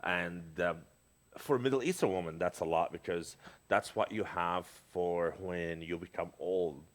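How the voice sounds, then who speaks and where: Man from Lebanon, very low voice, hesitant, lots of fillers, middle-aged, casual chat. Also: measured pace. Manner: hesitant, many fillers and restarts. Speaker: guest. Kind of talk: casual conversation.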